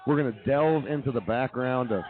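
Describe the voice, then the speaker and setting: A man's voice: medium pitch, a little fast, soft background music. A man hosting a casual live comedy radio show from a brewery. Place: brewery bar, live broadcast. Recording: tinny lo-fi, crowd chatter behind.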